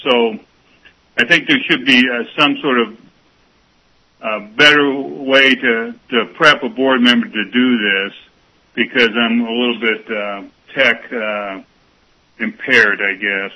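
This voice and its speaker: A man on a school board, speaking through a phone on speakerphone, halting with many restarts. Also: mid-range voice, steady tone, sharp tone. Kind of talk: public meeting remarks. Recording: dull lo-fi speakerphone audio.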